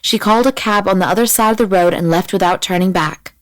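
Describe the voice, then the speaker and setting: A woman narrating a scripted audiobook in a measured, dramatic manner. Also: mid-range voice, no echo, low-quality recording.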